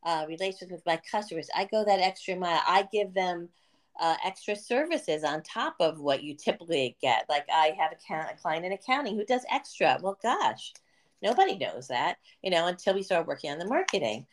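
A woman with a low voice hosts a business podcast in a casual, talky way. She explains marketing ideas earnestly, with plenty of ums and you-knows. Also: big pitch swings, brisk. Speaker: podcast host and business coach.

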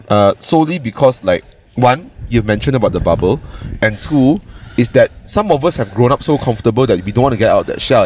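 A young Malaysian man co-hosting a podcast in casual, opinionated chat, often checking in with "right?" and repeating words for emphasis. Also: lowish voice. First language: Cantonese. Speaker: podcast co-host.

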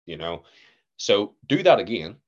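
A man in his twenties with a Northern Irish accent, an actor chatting as a podcast guest, lively and acting out what others said to him. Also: lowish voice, unhurried, dull audio. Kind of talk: casual interview chat.

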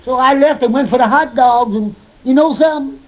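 A man's voice: extremely high pitch, brisk, low-quality recording. A man playing a timid, flustered little barber with a heavy stammer in a 1950s radio comedy. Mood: chatty, sheepish. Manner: heavy repeated stammer, trips over words.

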